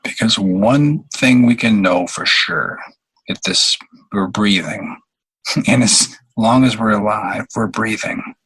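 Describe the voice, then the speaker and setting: A man with a fairly low, husky voice leads a guided meditation. He speaks very slowly and leaves long pauses, often halting mid-phrase. His tone has a bright, forward edge despite the unhurried delivery. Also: rough recording.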